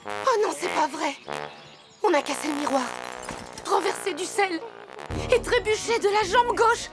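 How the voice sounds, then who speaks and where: A woman's voice: very high-pitched, expressive, unhurried. A woman voicing a superstitious, dramatic young female animal in a children's cartoon, speaking emphatically. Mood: alarmed, doomful. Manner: emphatic, dramatic, given to gloomy warnings. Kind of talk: children's cartoon dub acting. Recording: music under the voice.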